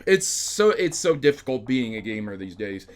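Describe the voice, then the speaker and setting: A man's voice: medium pitch, very animated, normal speed, soft background music. A man hosting a video game news show, giving casual, emphatic commentary with rambling asides and addressing his audience directly. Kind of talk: casual scripted-topic news commentary to camera.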